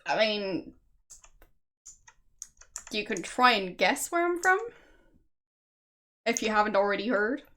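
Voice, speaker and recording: A woman's voice: mid-range voice, sing-song, slow pace, pauses often, fairly bright tone. A young woman from Austria with an Austrian German accent, chatting casually with her stream viewers and laughing often. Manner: chatty, laughs often, answers chat guesses.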